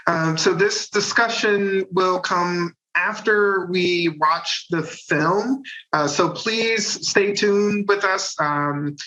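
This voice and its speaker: A man from Chicago facilitating an online panel discussion, halting, with many fillers and restarts, heard over a video call. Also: high voice, sharp tone. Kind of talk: hesitant online panel hosting. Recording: dull lo-fi video call audio.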